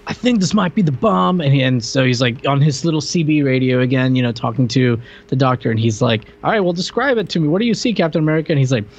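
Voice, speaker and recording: A man's voice: mid-range voice, animated, fast, few pauses, lo-fi sound. A man co-hosting a podcast, chatting casually about a film and retelling scenes in slangy, incredulous fashion. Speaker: podcast co-host. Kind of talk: casual film-review chat.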